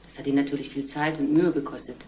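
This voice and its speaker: An actress playing a discreet, measured female witness in a radio crime drama. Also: a bass voice, little pitch movement, darkish tone, distant mic. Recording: roomy, off-mic, faint noise.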